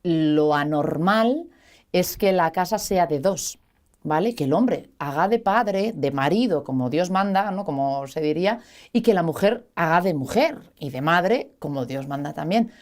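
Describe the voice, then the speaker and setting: A woman's voice: fairly low pitch, animated, unhurried, darkish tone. A woman from the Basque Country hosting a studio talk show, chatty and full of anecdotes and asides. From Basque Country, Spain.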